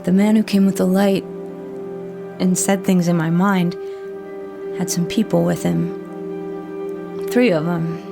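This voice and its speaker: Woman acting scared lady in a horror audio drama, eerie, slow, music under it. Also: lowish voice, steady tone, creaky. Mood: eerie, subdued. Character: frightened woman recalling a supernatural encounter.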